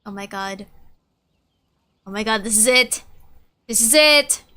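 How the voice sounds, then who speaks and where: A woman's voice: on the high side, a little slow, bright, forceful tone, clean tone. A woman streaming a game, chatting casually, musing and reacting aloud as she plays.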